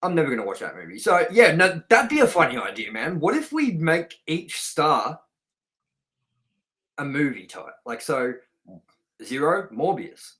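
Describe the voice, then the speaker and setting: A man's voice: on the high side, sing-song, unhurried, a bit bright. Casual, sweary man co-hosting a review show. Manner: informal, sweary, blunt. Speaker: co-host of a movie review show.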